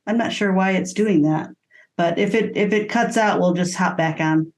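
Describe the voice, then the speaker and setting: A woman's voice: fairly low pitch, moderate pace, a little mellow. A woman co-hosting an informal interview over a video call, chatting casually. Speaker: interview co-host.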